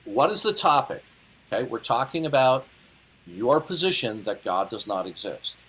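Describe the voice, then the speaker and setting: Late-fifties male debater, domineering and interrupting, husky and swooping in pitch. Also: sing-song, normal speed, fairly bright tone, poor audio. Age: 50s. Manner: condescending, interrupting, lecturing. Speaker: debate caller. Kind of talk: heated debate.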